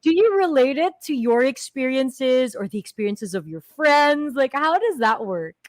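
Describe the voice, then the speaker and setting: A woman's voice: high voice, lively intonation, normal speed, no echo, studio quality. A woman hosting a casual radio interview, playful and teasing with her guest. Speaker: radio host.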